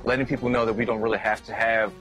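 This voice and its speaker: A man from the United States chats quickly and casually, his voice sitting a little low. Soft music plays under him. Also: flat delivery, rough recording. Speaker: clothing designer. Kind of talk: interview.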